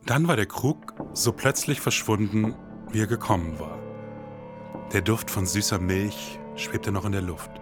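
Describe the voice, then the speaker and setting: A man's voice: fairly low pitch, measured pace, over music, close to an everyday voice. An actor playing a laconic detective who narrates his case in an audio drama with terse, dry phrasing. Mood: noir storytelling.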